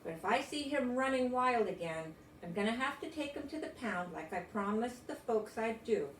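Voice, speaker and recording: A woman's voice: fairly high pitch, expressive, normal speed, lightly acted. A weary, dismissive old man from a storybook, acted out by a woman. He grumbles emphatically in a folksy manner. The sound is roomy and a little distant, with faint noise behind. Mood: grudging, warning.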